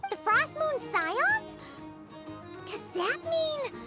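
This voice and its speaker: A woman voicing a tiny, childlike, excitable fairy companion in a cartoonish video game. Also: very high voice, very slow, heavily acted. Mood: puzzled. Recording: loud game music behind.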